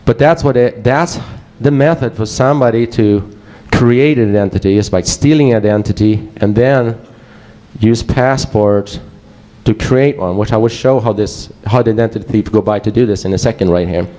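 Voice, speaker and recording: Man with a Swedish accent and a low, warm voice, presenting casually and wryly to a crowd. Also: moderate pace. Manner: casual, joking, digressive. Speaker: conference speaker. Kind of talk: presentation talk to a live audience.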